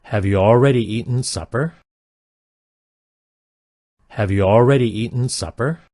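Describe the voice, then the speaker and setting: A man reading simple English practice sentences aloud, each one twice, in a careful, even delivery. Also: medium pitch, measured pace, dry recording, studio quality. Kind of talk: slow repeated sentence reading for learners.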